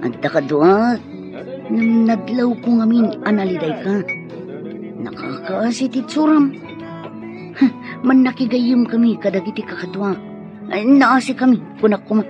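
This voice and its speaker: A man's voice: high-pitched, animated, a little slow, long pauses. A man acting as a carefree young man who laughs and teases while luring a friend away from school in a radio drama. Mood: teasing. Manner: teasing, laughing. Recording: dull audio with loud background music.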